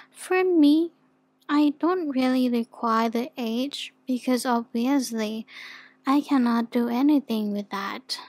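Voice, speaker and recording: Woman voicing a soft, slow-speaking shy demon girl. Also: a little high, no room sound. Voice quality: soft, whispery at times. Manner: hesitant, stammering, apologetic. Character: soft, shy, flustered young female demon offering contracts. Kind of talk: ASMR roleplay.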